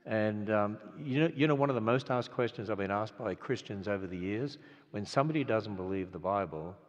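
A man's voice: fairly low pitch, a little fast. An older man with an Australian accent, preaching in an earnest, conversational way full of restarts. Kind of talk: storytelling sermon. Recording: lo-fi sound in a reverberant hall.

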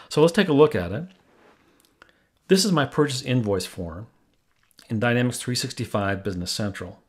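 Husky American man narrating a tutorial. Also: mid-range voice. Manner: plain, step-by-step explaining. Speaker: software demo presenter. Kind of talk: scripted tutorial narration of a screen demo.

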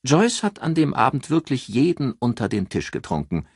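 A man voicing an amused, chatty countess who tells anecdotes in a lively, teasing way in an audiobook. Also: mid-range voice, moderate pace, husky, no echo, studio quality, voice pushed up. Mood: wry, teasing. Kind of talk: audiobook character dialogue.

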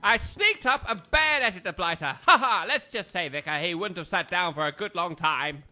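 A retired English army major in a comic audio drama. He is pompous and quick to bark orders, with a forceful, cutting voice set a little high. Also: lively intonation, faint music behind, exaggerated voice. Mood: jovial. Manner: pompous, blustering, barking orders.

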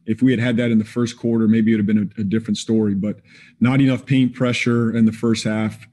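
A man in his forties, a basketball head coach, answering questions at a postgame press conference over a video call in a measured, plain-spoken way with frequent fillers. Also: lowish voice, little pitch movement, mellow. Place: indoors in front of a team backdrop.

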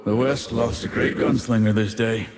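Game gunslinger male actor: low, unhurried, menacing drawl, demon-haunted and vengeful, drenched in reverb. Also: fairly even intonation, background noise, natural voice. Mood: vengeful, brooding. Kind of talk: dramatic video game voice lines. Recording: long reverb effect on game audio.

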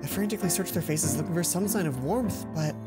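A man's voice: on the high side, fast. A young adult man reading visual novel narration as a let's play host, with casual commentary. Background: game music.

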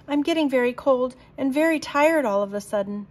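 A woman's voice: sits high, lively intonation, fairly quick, pitched above the performer's own voice. A woman voicing a big, sleepy, grumpy male bear who growls and moans in a storybook. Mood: sleepy complaint. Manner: growling, moaning.

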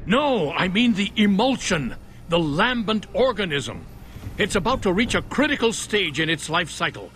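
A man's voice: sits high, very animated, normal speed, a bit bright, a little hiss or hum. A man playing an elderly scientist and father in a video game, speaking earnestly and urgently. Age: older. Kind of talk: video game cutscene acting.

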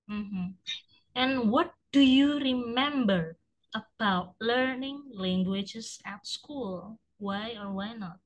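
A woman tutor asks test questions in a slow, measured way and adds short encouraging words. Her voice is fairly high. She speaks over a video call. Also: low-quality recording. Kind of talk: examiner asking questions. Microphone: video call webcam.

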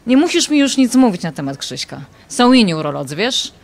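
Woman acting an indignant wife, highish lively voice. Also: fairly quick, vocal fry. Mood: exasperated, indignant. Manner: defensive, exasperated. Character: desperate, indignant married woman confronting her ex-lover. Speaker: actress in an audio drama. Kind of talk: audio drama acting, dialogue.

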